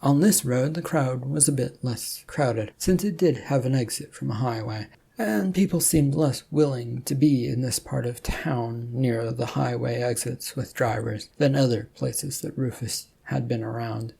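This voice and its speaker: A narrator reads scripted fiction in a mid-range voice. The delivery is gravelly and husky, with a soft, mellow tone. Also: medium pitch, a little slow, hardly any pauses.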